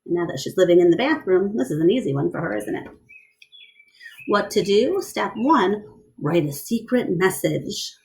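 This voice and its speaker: A woman reading a picture book aloud to children in an expressive style, pausing to question and explain things to her young listeners. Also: medium pitch, low-quality recording. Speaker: read-aloud storyteller.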